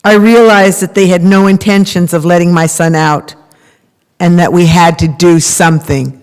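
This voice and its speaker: A woman's voice: fairly low pitch, fairly even intonation, moderate pace, a little mellow, close to an everyday voice. A middle-aged woman playing a prisoner's earnest mother, reading a monologue from a script in readers theater. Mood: earnest, sorrowful. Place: large temple hall, at a lectern. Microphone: microphone on a stand at lectern. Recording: reverberant hall recording.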